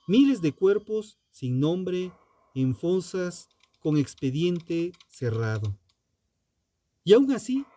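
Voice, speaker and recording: A man narrating a scripted true-crime documentary in a solemn, dramatic manner, in short measured phrases with pauses. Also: a little high, mellow.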